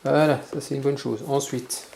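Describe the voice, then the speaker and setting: Mellow, gravelly man talking through a task. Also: mid-range voice, level tone, normal speed, slight background noise. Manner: informal, muttering step by step while working. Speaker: motorcycle gear tutorial vlogger. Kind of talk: casual hands-on tutorial talk.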